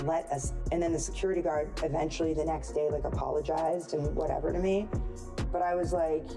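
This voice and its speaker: Low-voiced, blunt woman. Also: fairly even intonation, brisk, over music, rough recording. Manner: emphatic, blunt, profane. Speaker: interview guest. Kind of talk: candid casual interview.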